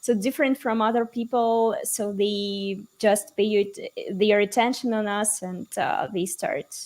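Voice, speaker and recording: A woman's voice: medium pitch, fairly even intonation, a little slow, a little mellow, poor audio. Woman hosting a video call interview, chatty, asks follow-ups. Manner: conversational, asking follow-up questions. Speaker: host. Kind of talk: online conference interview.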